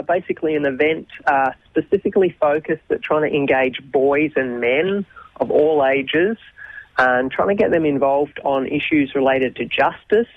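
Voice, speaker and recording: A man's voice: fairly high pitch, dry recording. Australian man on a tinny phone line. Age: 40s. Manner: thoughtful, many ums and restarts. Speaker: interview guest, youth minister. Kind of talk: casual radio interview.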